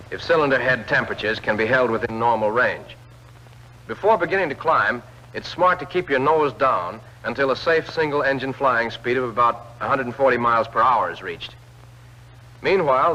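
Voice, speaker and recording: A man reads scripted instructional narration for a 1940s military training film, clearly addressing the trainee directly. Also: mid-range voice, bright, forceful tone. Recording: old film soundtrack, dull lo-fi band.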